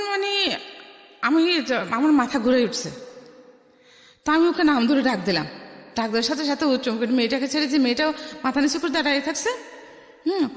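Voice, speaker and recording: A woman with a Bangladeshi Bengali accent tells her life story as a radio interview guest, haltingly, restarting phrases and dropping in English words. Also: high-pitched. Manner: halting, with repeated false starts and English words mixed in. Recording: echoey with long reverb.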